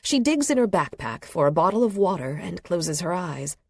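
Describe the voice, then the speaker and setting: A woman reading literary fiction as an audiobook narrator, with a measured, expressive delivery and a slightly husky voice. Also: fairly low pitch, no room sound, studio quality. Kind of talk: scripted literary fiction narration, present tense. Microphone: close studio microphone.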